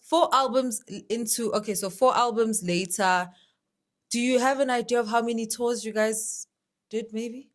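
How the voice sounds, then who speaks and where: A woman's voice: a little high, steady tone, normal speed. A young woman hosting an interview with her father, chatty and enthusiastic, turning to speak to her viewers. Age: young adult. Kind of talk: casual interview.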